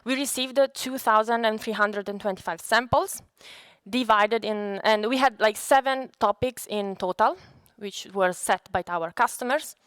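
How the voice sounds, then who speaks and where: A woman from Italy with an Italian accent, a native Italian speaker, giving a conference presentation as a data scientist in a halting, explanatory way. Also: on the high side, fairly bright tone, clear, resonant tone, dry recording.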